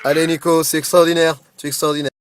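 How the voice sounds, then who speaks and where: A man with a high-pitched voice plays radio game host on a prank call. He improvises his patter and pushes his contestant along with hyped-up, boisterous urging. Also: flat delivery, quick pace, background noise. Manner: boisterous, pushy, hyped-up exhortations.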